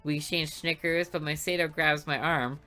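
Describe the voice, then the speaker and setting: A woman's voice: low-pitched, steady tone, normal speed, pushed and bright, soft background music. A woman reading a visual novel aloud for a let's play, with playful asides between the lines.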